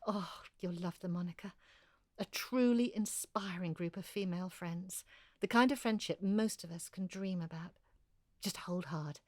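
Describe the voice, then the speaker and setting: An actress playing Hilary, a thirty-nine-year-old former museum curator and single mother who speaks in a proper, florid, brisk way. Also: lowish voice, darkish tone, dry recording, clean studio sound, close to an everyday voice. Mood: warm. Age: 30s. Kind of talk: audio drama acting, epistolary.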